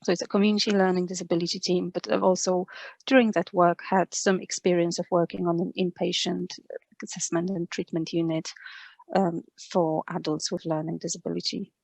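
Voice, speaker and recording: A woman in her forties speaks English with a Polish accent. Her voice is slightly low, and she talks in a reflective, conversational way, pausing and repeating words as she goes. The recording is close and dry. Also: little pitch movement, creaky, darkish tone, no room sound. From Poland. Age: 40s. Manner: hesitant, halting, with repeated words. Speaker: interview guest, speech and language therapist.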